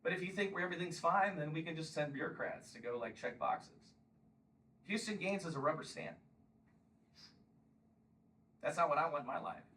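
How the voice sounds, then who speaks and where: A man's voice: on the high side, moderate pace, pauses often, sharp tone, recorded from a distance, a little hiss or hum, low-quality recording. A man in his fifties giving a combative campaign speech and taking questions at a local meeting, often pressing "Okay?". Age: 50s. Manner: combative, emphatic, repeats "Okay?". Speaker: congressional candidate.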